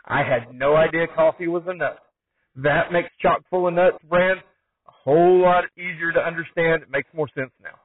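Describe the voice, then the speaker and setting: A thin, phone-like and dry recording of a man hosting a podcast. His voice is fairly high for a man, and he speaks conversationally as an interviewer. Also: moderate pace, dry recording. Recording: thin phone-like band-limited sound, dry.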